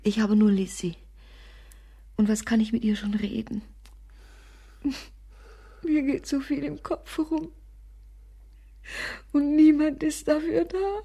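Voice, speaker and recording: Radio play lead: lonely, anxious woman, dark low murmur, whispered fragments, very dry sound. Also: no room sound. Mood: anxious, pleading. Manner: breathy whispering, halting fragments. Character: lonely, anxious, bitter woman in bleak slum. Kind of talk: literary radio drama monologue and dialogue.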